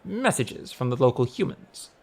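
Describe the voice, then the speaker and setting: A man's voice: mid-range voice, big pitch swings, moderate pace, slight background noise. A man voicing a restrained, long-suffering male government aide in an audiobook, speaking in a dry, formal, hesitant way. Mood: businesslike.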